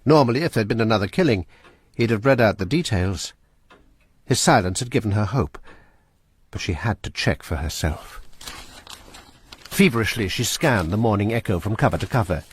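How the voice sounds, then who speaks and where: A man narrating a suspenseful period story in a measured, scripted way. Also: on the low side, lively intonation, slight background noise.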